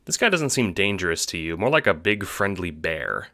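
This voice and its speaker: A man giving a scripted, dramatic narration for an audio drama, with deliberate phrasing. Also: low-pitched, sing-song, bright, forceful tone.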